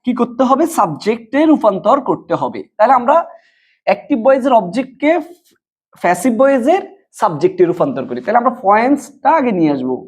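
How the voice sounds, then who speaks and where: A woman's voice: on the high side, big pitch swings, a little fast, darkish tone, slightly rough. A woman teaching students a grammar lesson, explaining step by step with repetition and questions she answers herself. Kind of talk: board lesson addressed to students.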